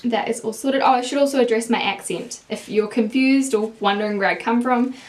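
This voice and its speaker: A woman's voice: on the high side, brisk, poor audio. A young woman with a strong New Zealand accent, chatting casually to camera as a beauty vlogger, walking through swatches of eyeshadows in a small room. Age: young adult. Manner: chatty, explains product swatches while holding up palettes. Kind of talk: casual makeup review to camera. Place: indoors, small room with plain wall.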